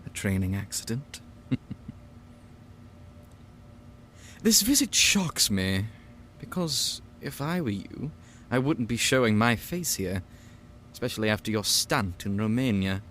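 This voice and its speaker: An actor playing a stern, condescending organization leader in an audio drama, with a sneering, authoritative, mock-polite manner. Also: male voice, lowish voice, animated, unhurried, fairly bright tone, a little hiss or hum. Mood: mocking politeness.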